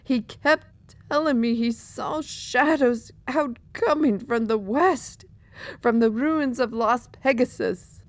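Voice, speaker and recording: Woman as a slow, weary pegasus mercenary captain. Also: fairly high pitch, dry recording. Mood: troubled. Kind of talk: audio drama acting.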